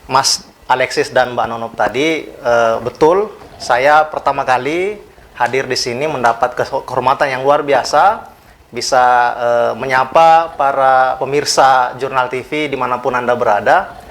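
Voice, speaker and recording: A man's voice: medium pitch, fairly bright tone. Polite, hesitant man from South Sulawesi. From South Sulawesi, Indonesia. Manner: polite and measured, many hesitant fillers. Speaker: guest, youth organisation official. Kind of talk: interview talk.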